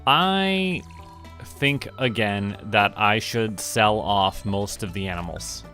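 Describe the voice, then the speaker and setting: Bright-voiced man streaming a game. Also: lowish voice, takes it slowly. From Canada. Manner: musing to himself in short remarks. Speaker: VTuber streamer. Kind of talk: casual livestream gaming commentary. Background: background music.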